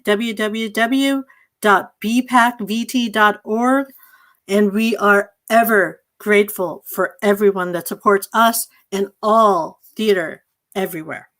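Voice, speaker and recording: A woman's voice: on the high side, fairly bright tone. A woman hosting an online evening of play readings, giving a welcoming introduction as a theatre manager.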